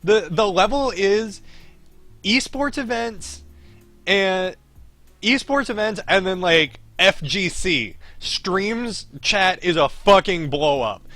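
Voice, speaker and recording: A man livestreaming a game with a bright, forward voice that sits a little high. He talks casually and bluntly, swearing freely. Faint music plays behind him. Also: animated, slow pace, no room sound. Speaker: streamer reading a visual novel aloud. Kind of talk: casual livestream chat with read-aloud game dialogue.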